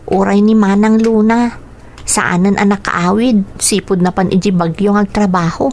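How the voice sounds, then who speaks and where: A woman's voice: medium pitch, fairly even intonation, normal speed, darkish tone, natural voice. A woman playing a dutiful, sorrowful young daughter of a poor farm family, plaintive and earnest, in an Ilocano radio drama. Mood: worried. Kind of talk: Ilocano radio drama acting with inner monologue.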